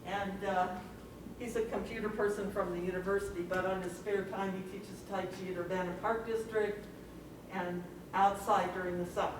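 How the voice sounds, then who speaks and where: A woman giving casual commentary on her photos at a club meeting, halting and informal, with chuckles between remarks. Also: medium pitch, fairly even intonation. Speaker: camera club member presenting photos. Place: library meeting room, recorded from afar. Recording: very echoey room, distant mic, noisy.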